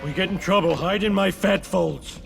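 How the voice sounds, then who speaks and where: An actor playing a dim-witted, overweight cartoon father in a video game, gruff and blurting things out. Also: high voice, normal speed, noisy background. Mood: blustering. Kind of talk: video game cutscene acting.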